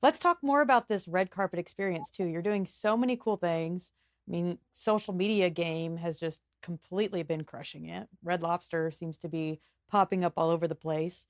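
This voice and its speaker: A woman's voice: lowish voice, normal speed, dry recording, clean studio sound. A woman hosting an interview podcast, asking questions in an upbeat, affirming way. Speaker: podcast host. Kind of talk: interview conversation.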